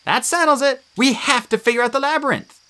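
A woman voicing a brisk, determined teenage girl demigod who speaks decisively. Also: sits high, bright, forceful tone, pitched above the performer's own voice.

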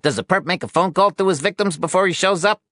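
A man's voice: high-pitched, quick pace, sharp tone, no room sound, studio quality, voice pushed up. A man reading an audiobook, voicing a pushy, scruffy little male journalist who needles and wheedles. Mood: needling.

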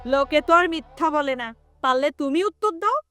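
A woman's voice: sits high, animated, moderate pace, sharp tone, soft background music. A woman playing a bitter, reproachful mother who scolds and complains in an audio drama. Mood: reproachful arguing. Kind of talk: Bengali audio drama acting.

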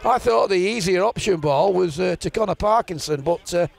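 A man's voice: sits high, expressive, normal speed, creaky. A man calling an amateur rugby league match outdoors in the rain, chatty, his voice rising on big plays. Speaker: play-by-play commentator. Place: outdoors at a rainy rugby ground. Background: spectators and rain.

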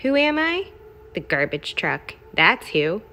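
A woman's voice: medium pitch, big pitch swings, takes it slowly, sharp tone, exaggerated voice. Woman voicing a brash, punchy storybook garbage truck. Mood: boastful. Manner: emphatic, exclamatory, punchy short phrases. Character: brash, boastful, gleefully smelly garbage truck. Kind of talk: expressive children's picture-book read-aloud.

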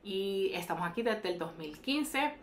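A woman's voice: medium pitch, expressive, unhurried, a little hiss or hum. A woman, explaining things to camera as a realtor. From Atlanta, United States, of Puerto Rican parents. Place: small indoor room with brick wall. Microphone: lapel.

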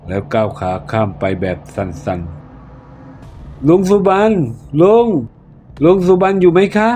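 Low-voiced male storyteller, faint music. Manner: steady, measured telling. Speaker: narrator. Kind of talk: storybook narration of a ghost tale. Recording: narration with faint background music.

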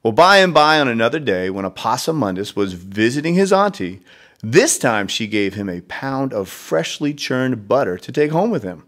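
Male storyteller, lively intonation, mid-pitched, expressive read-aloud, conversational asides. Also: normal speed. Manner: conversational asides to young listeners. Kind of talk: expressive children's storybook reading.

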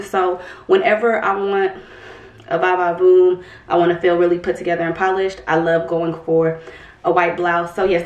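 A young woman vlogging at home, chatting casually to a camera a few feet away, relaxed, with lots of "um" and "like". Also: mid-range voice. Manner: chatty and relaxed, many "um" and "like" fillers. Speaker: lifestyle vlogger. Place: bedroom or dressing room at home, indoors. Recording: roomy echo of a bare room.

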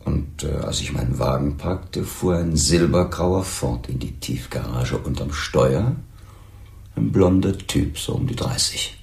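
A dry police lieutenant in a crime radio play. His very deep voice crackles with gravel as he probes with measured irony, in a roomy acoustic. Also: lively intonation, a little mellow, exaggerated voice. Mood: dry, musing. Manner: measured, ironic questioning. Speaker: actor in a radio drama.

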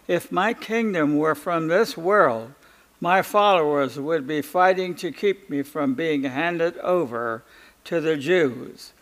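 A man reading the lines of a calm, solemn male prophet on trial in a liturgical Passion reading, slow and measured. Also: on the high side, low-quality recording. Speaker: scripture reader.

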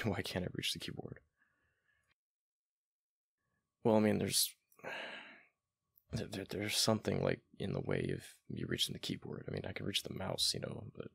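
A man acting a gravelly, flustered gamer boyfriend. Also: a bit bright, no room sound, clean studio sound, exaggerated voice. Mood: flustered, sheepish. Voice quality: deep, gravelly. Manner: halting, stammering, murmured. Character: flustered, affectionate young gamer boyfriend, hushed and pleading. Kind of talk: boyfriend ASMR roleplay.

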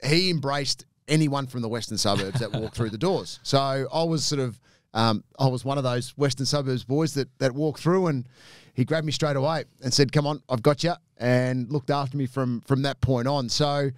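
An Australian man in his forties, a former footballer telling stories as a podcast guest, animated and quick to laugh, in a small studio on a desk mic. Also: medium pitch, no echo. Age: 40s. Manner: animated, laughs often, repeats words while thinking. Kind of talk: casual storytelling chat. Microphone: studio mic on a desk arm, headphones.

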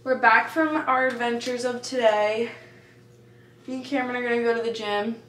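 A woman's voice: on the high side, fairly even intonation, moderate pace. A young woman chatting casually and giggling while filming a vlog with friends in her apartment. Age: young adult. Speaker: vlogger. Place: apartment and parking garage. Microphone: handheld camera. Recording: echoey rooms with loud background noise.

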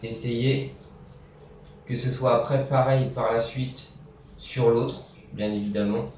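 A young man casually talks through a DIY sewing tutorial while working, relaxed and filling pauses with little interjections. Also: mid-range voice, slight background noise. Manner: relaxed, talks while working, many fillers and "hop, voilà". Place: bare room with grey wall, indoors. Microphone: camera mic from a distance. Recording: roomy echo, distant mic, faint music.

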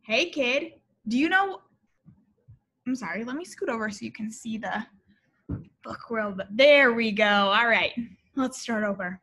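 Casting: female teacher, thirties, high bright voice, expressive picture-book read-aloud for little kids. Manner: expressive, deliberate, explaining to young children. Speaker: elementary teacher reading aloud. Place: school classroom, indoors.

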